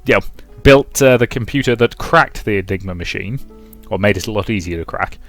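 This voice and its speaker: A man's voice: lowish voice, a little fast, fairly bright tone, no echo, soft background music. A man commentating on and reading aloud from a visual novel as a let's play host.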